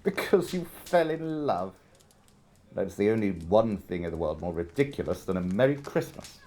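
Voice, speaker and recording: A man's voice: on the low side, big pitch swings, moderate pace, darkish tone. An actor playing a miserly, scornful old businessman with a grating voice in a Victorian audio drama. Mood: curt, mocking. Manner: curt, irritable, scornful.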